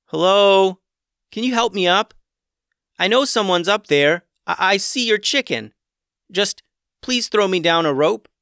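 A man's voice: high-pitched, expressive, a little slow, pauses often, pushed and bright, clear, resonant tone, no echo, studio quality, voice pushed up, full character voice. A man voicing an earnest young boy who stammers, in a storybook reading. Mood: pleading. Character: eager young boy, earnest, easily amazed.

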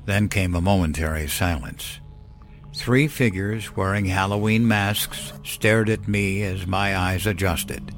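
A man giving measured, suspenseful first-person narration of a horror story. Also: sits low. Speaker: narrator of horror fiction podcast. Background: background music.

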